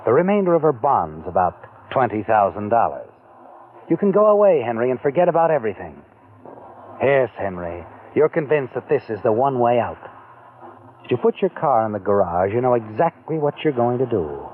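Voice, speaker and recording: A man's voice: medium pitch, big pitch swings, moderate pace, a little hiss or hum. A man narrating a radio mystery in the second person, teasing the listener with insinuating questions. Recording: tinny old radio broadcast.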